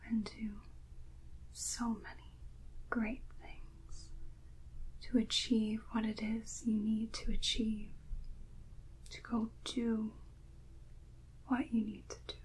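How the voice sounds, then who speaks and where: A woman's voice: fairly high pitch, monotone, breathy edge, heavily acted, poor audio. A woman playing a serene, encouraging mystic priestess from a tarot deck, repeating reassurances in a whispered ASMR roleplay. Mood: serene, reassuring. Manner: slow, repetitive, reassuring.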